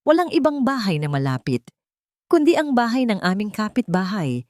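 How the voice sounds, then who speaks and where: A woman's voice: mid-range voice, sing-song, moderate pace, a little mellow, no room sound, clean studio sound. Woman narrating an emotional audiobook. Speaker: storyteller. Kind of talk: first-person dramatic audiobook narration.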